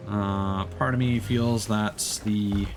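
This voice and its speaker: A man's voice: on the low side, fairly even intonation, slow, over music. A man streaming a game, talking casually and explaining things at length with frequent fillers. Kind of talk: casual gameplay commentary.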